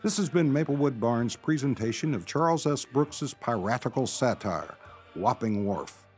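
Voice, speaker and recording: Radio announcer, male: mid-range voice, lively intonation, scripted narration, light music underneath. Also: medium pitch, normal speed.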